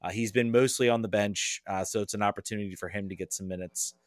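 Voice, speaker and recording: A man giving casual soccer analysis as a podcast guest, halting with many pauses and restarts. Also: lowish voice, fairly even intonation, dry recording, clean studio sound.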